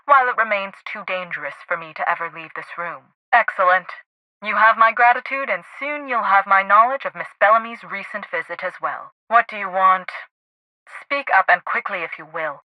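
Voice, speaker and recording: A woman's voice: medium pitch, no echo, close to an everyday voice. Actress as a haughty woman scientist, dry muffled audio. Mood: cool, appraising, then indignant, haughty. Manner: pompous, formal, precise diction. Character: haughty, high-strung woman scientist heading a research lab. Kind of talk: video game character dialogue.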